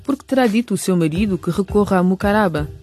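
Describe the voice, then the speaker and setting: A woman's voice: mid-range voice, expressive, quick pace, a little mellow, soft background music. A woman reading scripted narration as the announcer of a radio series.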